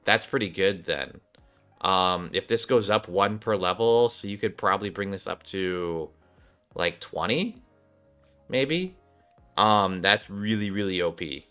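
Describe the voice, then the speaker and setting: A man's voice: sits low, animated, measured pace, bright, forceful tone, smooth, faint music behind. A man doing casual game commentary, thinking aloud in a rambling way, with a slightly worn voice. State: voice slightly off, recovering. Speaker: gaming streamer, host.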